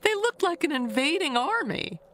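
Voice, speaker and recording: A woman's voice: high voice, very animated, moderate pace, a bit bright. A woman playing a chatty, gossipy neighbor in an audio drama, reminiscing in a confiding, rambling way. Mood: confiding, wistful. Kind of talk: audio drama monologue, conversational storytelling.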